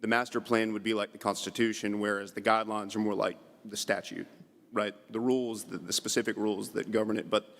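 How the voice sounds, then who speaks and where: A man on a public commission questioning staff politely, in a tentative, halting way. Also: on the low side, flat delivery, gravelly, fairly bright tone. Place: public commission meeting room. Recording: echoey hall with long reverb.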